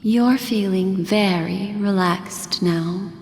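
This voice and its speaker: An actress playing an enigmatic, composed woman guide in a video game, narrating in a measured, hypnotic, deliberate way. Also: medium pitch, a little mellow, a little hiss or hum. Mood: soothing, hypnotic. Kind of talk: dramatic scripted game narration. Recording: long hall reverb, game music behind.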